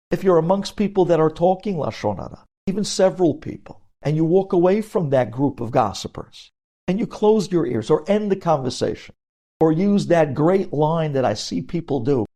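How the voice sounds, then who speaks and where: Mellow-voiced man teaching religious law. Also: fairly high pitch. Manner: explanatory, emphatic, poses questions and answers them.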